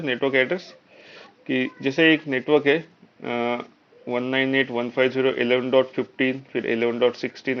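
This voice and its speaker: A man with an Indian accent giving a live technical lecture as an instructor, explaining step by step with frequent check-in tags and mixing in English technical terms. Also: medium pitch. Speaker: networking instructor. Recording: dull, lo-fi online class audio.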